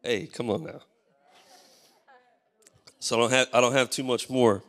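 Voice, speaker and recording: Hoarse pastor preaching in a church hall. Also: male voice, medium pitch, steady tone, a little slow, fairly bright tone. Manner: preaching with call-and-response, asking the crowd for amens. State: losing his voice. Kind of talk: sermon. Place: large church stage. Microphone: handheld mic. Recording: live church hall reverb.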